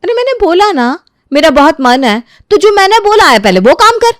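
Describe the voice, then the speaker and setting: A woman's voice: extremely high pitch, very animated, moderate pace, no echo, studio quality, pitched above the performer's own voice. A woman playing a hot-tempered, spoiled young daughter-in-law in an audio story, scolding and imperious. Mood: angry, imperious.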